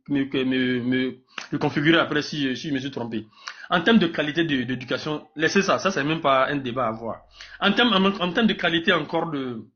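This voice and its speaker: A man with a Burkinabé accent arguing off the cuff in a live political debate, emphatic and repetitive, often stumbling over his words. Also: a little high. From Burkina Faso. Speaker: debate participant. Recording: dull lo-fi live stream audio.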